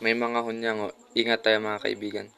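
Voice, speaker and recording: A man's voice: fairly low pitch, completely flat delivery, a little fast, vocal fry, pushed and bright, clean tone. A man voicing a friendly, cautious forest animal in a puppet show.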